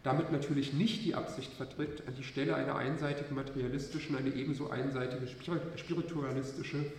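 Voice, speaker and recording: A man's voice: medium pitch, steady tone, quick pace, slight background noise. A male university lecturer with a cold gives an academic lecture, hesitant and full of filler sounds. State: has a cold. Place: lecture hall. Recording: echoey lecture hall from far off.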